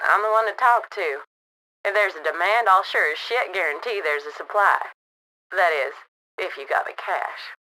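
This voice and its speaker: A woman's voice: lowish voice, bright, forceful tone, rough recording. A woman voicing a tough female gun-runner with a Texan accent, talking in a brash, folksy drawl for a video game. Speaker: quest giver.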